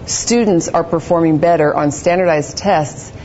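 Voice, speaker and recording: Female interviewee, low and mellow, confident, slightly muffled recording. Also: normal speed. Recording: muffled with faint rumble.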